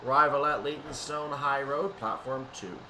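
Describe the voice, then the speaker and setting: Man commentating over clear background noise. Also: medium pitch, moderate pace. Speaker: gameplay commentator. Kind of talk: train simulator play-along commentary. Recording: steady noise behind the voice.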